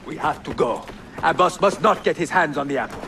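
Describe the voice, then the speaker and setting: A man's voice: on the high side, expressive, brisk, noisy background, lightly acted. An actor playing a grave older master assassin and father in a game, terse and resolute. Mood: urgent, resolute. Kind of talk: dramatic game acting.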